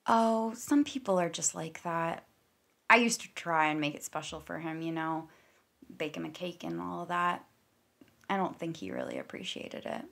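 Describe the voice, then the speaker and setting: Woman acting female massage therapist, prickly, sardonic, bright forceful tone, naturalistic audio drama. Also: fairly low pitch, animated, normal speed, gravelly. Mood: reserved, awkward. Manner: clipped deflections, sarcastic when riled.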